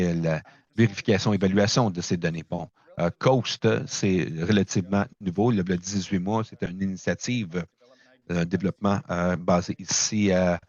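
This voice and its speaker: A man giving a conference talk over a video call, halting, with many fillers, speaking French as a second language. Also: deep voice, no room sound, muffled recording. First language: not French. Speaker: presenter at a launch event. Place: remote location, speaking in from afar.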